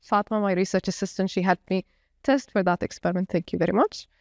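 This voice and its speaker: A woman researcher describing her experiments at a conference, pausing often to search for words. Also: medium pitch, darkish tone, no room sound, clean studio sound. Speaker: researcher presenting her study. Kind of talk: conference panel discussion. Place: conference venue.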